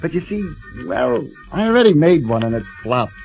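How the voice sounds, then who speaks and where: An actor playing a naive, eager young elevator operator in a vintage radio drama, earnest, hesitant and slangy. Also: male voice, a little high, big pitch swings, moderate pace, gravelly. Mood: earnest, wistful. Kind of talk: 1940s-50s radio drama acting. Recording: dull vintage radio recording with music.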